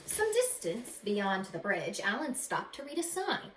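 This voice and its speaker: Woman, animated storybook read-aloud, dramatic delivery for children, roomy echo, faint noise. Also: medium pitch. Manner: dramatic, pausing to ask young listeners questions. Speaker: teacher reading a picture book aloud to children.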